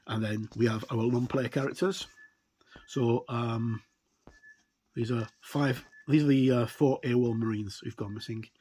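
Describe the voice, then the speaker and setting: A man's voice: mid-range voice, darkish tone. Man giving a casual review, noisy recording. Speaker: tabletop game reviewer. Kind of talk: casual flip-through product review, unscripted. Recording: clear background noise with clicks.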